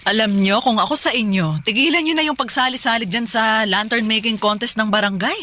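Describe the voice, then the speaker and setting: A woman's voice: a little high, fairly quick, pushed and bright. A woman acting as a nagging, strict middle-aged mother in a radio drama, short-tempered and scolding, with faint noise in the recording. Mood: dismissive nagging. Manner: scolding, naggy.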